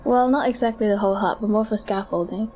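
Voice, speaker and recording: A woman's voice: mid-range voice, quick pace, mellow, a little hiss or hum, lightly acted. A woman playing a polite clinic doctor who explains treatment options in a scripted amateur audio drama. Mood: polite, explanatory.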